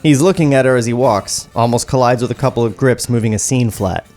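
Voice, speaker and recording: Man, medium pitch, podcast table read, narrating script directions, joking asides, music in the background. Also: fast, a little mellow.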